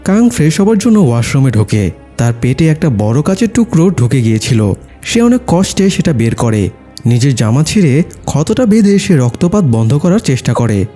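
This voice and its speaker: Recap narrator: male, mellow and slightly husky, scripted storytelling over a music bed. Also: fairly high pitch, big pitch swings, moderate pace. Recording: music behind the voice. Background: background music.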